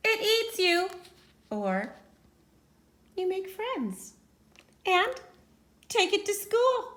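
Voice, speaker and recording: A woman reads a children's story in a high, bright voice. The delivery is theatrical and unhurried, with dramatic pauses, hushed setups and sudden emphatic swells. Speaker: teacher reading a picture book aloud.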